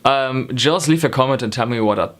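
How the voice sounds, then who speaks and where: A man's voice: medium pitch, brisk, a bit bright. A young man from Germany with a German accent, chatting to camera in a rambling way, with many ums and self-corrections, from a small home room. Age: young adult. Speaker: knitting vlogger. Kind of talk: casual chat to camera. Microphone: camera in front, close framing.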